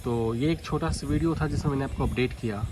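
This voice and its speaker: A man's voice: medium pitch, fast talker. A man with an Indian accent explains things casually to viewers in a vlog, often restating his points. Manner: explanatory, repeats points, addresses viewers directly. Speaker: vlogger, shortwave radio hobbyist. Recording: clearly noisy home recording, radio static.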